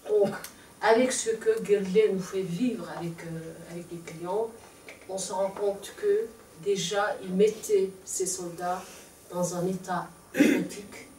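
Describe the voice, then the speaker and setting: A woman's voice: medium pitch, unhurried, mellow, slight background noise. Mongolian-accented woman in an echoey hall. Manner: hesitant with frequent fillers. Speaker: interpreter and conference presenter. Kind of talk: live conference talk. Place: lecture room. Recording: echoey room, mic far off.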